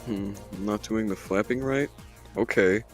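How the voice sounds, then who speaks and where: A man voicing an eager, easily baffled young fox with a creaky voice in an amateur cartoon dub. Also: fairly low pitch, measured pace, loud music behind the voice, close to an everyday voice. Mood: eager, questioning. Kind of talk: amateur comic dub character acting.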